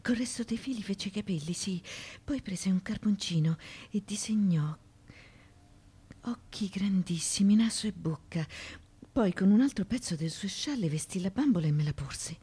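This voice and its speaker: A woman's voice: medium pitch, lively intonation, moderate pace, mellow, slightly rough. An actress playing an ancient Greek mother haunted by her childhood, in a theatrical radio monologue of broken musing. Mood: haunted, reminiscing.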